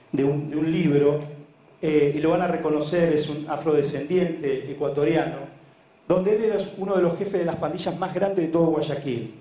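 Higher-voiced Argentine man, echoey room. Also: warm tone. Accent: Argentine, Buenos Aires. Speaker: speaker, head of a social sports foundation. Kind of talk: conference presentation, informal storytelling. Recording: echoey room, live talk.

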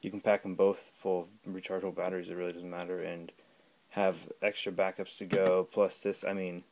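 A man giving a casual, unscripted gadget demonstration at home, talking in a halting, rambling way. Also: low-pitched, level tone, darkish tone. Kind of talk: casual unscripted product demo. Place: small room at home. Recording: clear background noise with clicks.

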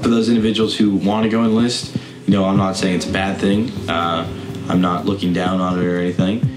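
Measured young man, echoey room. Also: low voice, flat delivery, over music. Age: young adult. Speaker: battalion commander cadet. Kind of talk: documentary interview.

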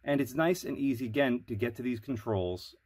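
A man with a head cold, sounding nasal, giving a measured product review as the channel's host. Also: medium pitch, darkish tone, slightly rough, no room sound. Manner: measured, explanatory.

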